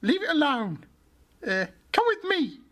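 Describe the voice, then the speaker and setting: Radio play witch: old, greedy and curt, slow delivery, high-pitched, faint noise behind. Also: big pitch swings. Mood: bossy. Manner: commanding, curt. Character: wicked old witch, bossy, greedy, menacing. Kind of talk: fairy-tale radio drama acting.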